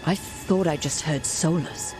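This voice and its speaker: A woman's voice: low voice, a little slow. A woman playing a determined, practical adventurer leading a party in a fantasy video game, terse and businesslike. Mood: puzzled, urgent. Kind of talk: naturalistic video game acting. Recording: loud cinematic game music behind.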